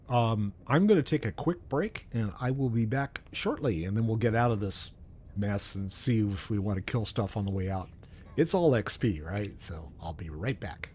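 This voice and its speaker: Low-voiced man casually narrating his gameplay. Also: a little mellow, slight background noise. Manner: rambling, thinking aloud. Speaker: livestream host playing a video game.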